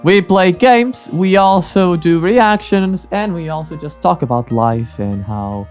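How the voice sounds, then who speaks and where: A man with a somewhat high voice gives casual reaction commentary. He rambles as host, circling back over his own phrases, with music clearly audible. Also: animated, a little slow, a little mellow.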